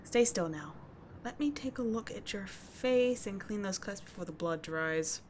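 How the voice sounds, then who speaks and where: A woman's voice: mid-range voice, expressive, unhurried, a little mellow, lightly acted. Woman doing a tough delinquent schoolgirl for asmr roleplay, blunt slangy but caring n soothing, bit of background noise. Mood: brisk, teasing. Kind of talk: ASMR roleplay audio drama.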